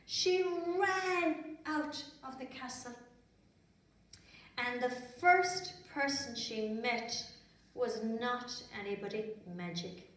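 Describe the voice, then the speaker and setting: Irish lady doing storytime for little kids, high voice, super echoey room, bit far from the mic. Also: takes it slowly, a bit bright. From Ireland. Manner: simple, repetitive, conversational asides. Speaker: children's storyteller. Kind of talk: storytelling for young children. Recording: very echoey room, distant mic, faint noise.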